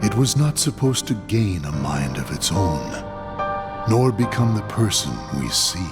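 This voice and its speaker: An actor playing a solemn, commanding villain overlord, grave, measured and grandiose, in a video game cutscene. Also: male voice, fairly low pitch, very animated, over loud music. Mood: cold, calculating. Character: solemn, commanding male villain overlord, coldly patient schemer.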